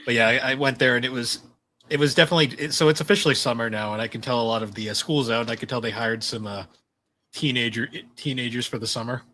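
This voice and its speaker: Young male podcast co-host, low relaxed voice, slight hiss behind. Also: fast. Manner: laid-back, chuckles while telling stories. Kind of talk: casual chat.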